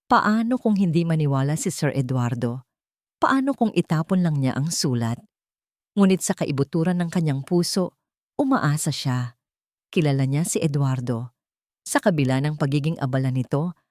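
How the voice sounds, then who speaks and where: A woman narrating a dramatic scripted audio story in deliberate, suspenseful phrases. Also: low voice, darkish tone, no room sound, studio quality.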